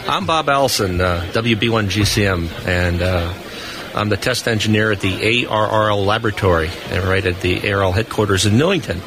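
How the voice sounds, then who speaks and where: A man's voice: on the low side, fairly quick, a crowd in the background. A middle-aged or older man, an engineer, chatting casually as a guest in a live radio interview. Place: convention hall booth. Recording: noisy live event floor broadcast. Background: busy convention floor noise.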